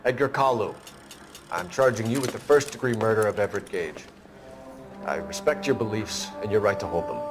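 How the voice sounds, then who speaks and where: An actor playing an earnest, stern young police detective in a video game, speaking formally and forcefully. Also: male voice, lowish voice, brisk, over music, close to an everyday voice. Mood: solemn. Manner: formal, clipped, forceful in questioning. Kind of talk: video game dramatic acting.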